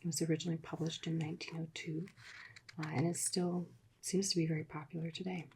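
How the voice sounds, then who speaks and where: A woman reading aloud in a soft, soothing, soft-spoken ASMR style that often drops into whispers. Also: low-pitched, flat delivery, gravelly, slight background noise. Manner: soft, slow, drifting into whispers. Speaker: ASMR reader.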